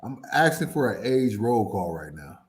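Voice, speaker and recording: A man's voice: on the low side, sing-song, normal speed. A man around forty chatting casually as a sports show panelist, blunt and slangy. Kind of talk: casual chat.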